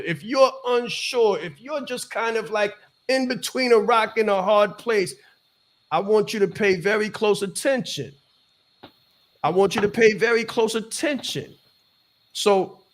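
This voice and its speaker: Emphatic high-voiced male interviewer. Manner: emphatic, repeats phrases for effect. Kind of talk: casual interview, motivational asides.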